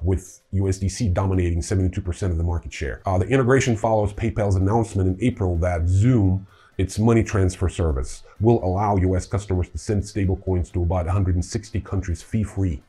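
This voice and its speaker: A man's voice: sits low, warm tone, husky. A middle-aged man hosting a news rundown, reading semi-scripted items with informal, wry asides. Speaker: host of a crypto news show. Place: indoor room with wooden staircase.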